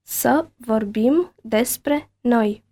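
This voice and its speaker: A woman reading a bilingual language-lesson dialogue and word list with careful, deliberate enunciation. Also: a little high, dark tone.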